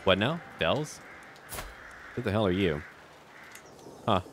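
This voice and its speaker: A man's voice: fairly low pitch, big pitch swings, takes it slowly, fairly bright tone, a little hiss or hum. A man doing casual let's play commentary on a strategy game, musing to himself and addressing the characters in short remarks. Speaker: gaming video creator playing a strategy game.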